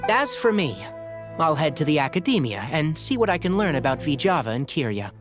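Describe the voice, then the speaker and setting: A man's voice: a little high, very animated, a little fast. A man playing a thoughtful young male scholar and ranger in a game, speaking in a reasoned, composed, explanatory way. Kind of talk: game cutscene voice acting. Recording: game audio with background music.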